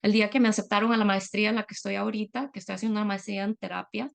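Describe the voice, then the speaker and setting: Middle-aged woman from Latin America, guest speaker; gravelly, mid-pitched voice, reflective and unhurried with frequent pauses. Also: fairly even intonation. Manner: reflective, earnest, many hesitation fillers. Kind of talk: personal testimony talk.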